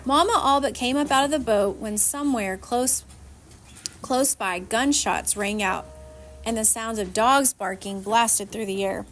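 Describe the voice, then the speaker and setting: A woman narrating a children's novel aloud in an expressive storytelling style. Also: a little high, unhurried. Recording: faint background noise.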